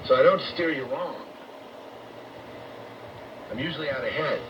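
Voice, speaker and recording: A man's voice: medium pitch, recorded from a distance. Noisy muffled shortwave radio, rambling American man. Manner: halting and repetitive, grandiose, coarse-spoken. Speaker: radio talk host and monologuist. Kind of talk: rambling off-the-cuff radio monologue.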